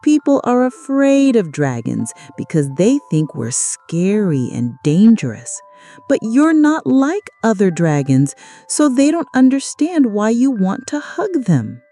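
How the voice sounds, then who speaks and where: A woman voicing an old, wise, kindly storybook owl with a measured, consoling manner. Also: a little high, big pitch swings, dark tone, soft background music.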